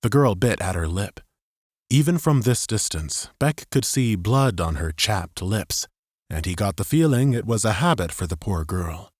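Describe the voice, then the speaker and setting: Deep-voiced male audiobook narrator. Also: animated, moderate pace, a little mellow, slightly rough, no echo, clean studio sound. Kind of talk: scripted dramatic fiction narration.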